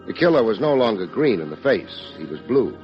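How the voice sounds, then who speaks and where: An actor playing a world-weary, sardonic private detective in an old-time radio drama, delivering wry, deadpan wisecracks and narration. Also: male voice, on the low side, fairly quick. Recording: dull old radio recording, music behind.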